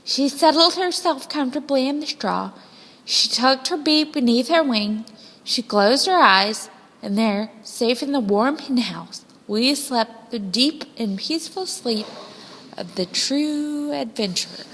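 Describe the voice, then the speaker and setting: A woman reading a children's story as scripted narration, with expressive, deliberate phrasing. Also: sits high. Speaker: storybook reader. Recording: long hall-like reverb.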